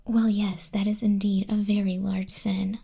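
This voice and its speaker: A woman playing a smug demon clerk in an ASMR roleplay, soft-spoken and often whispering, with a breathy, husky, condescending and teasing delivery. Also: medium pitch, flat delivery, unhurried. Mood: dryly stern. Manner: condescending, teasing, dryly bureaucratic. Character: smug female demon clerk registering sinners in hell.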